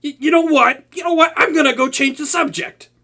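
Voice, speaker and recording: A man's voice: very high voice, fairly quick, bright, forceful tone. A young man voicing a proud, tsundere male supervillain who cooks for a captive hero, stammering and easily flustered in a comedic audio roleplay. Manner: stammering, flustered, talks to an unseen listener.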